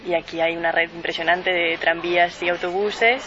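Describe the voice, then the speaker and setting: A woman's voice: fairly low pitch, dull audio, slight background noise. Chatty young woman hosting radio. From Spain. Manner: relaxed, chatty, with quick agreeing murmurs. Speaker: radio host. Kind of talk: casual radio interview.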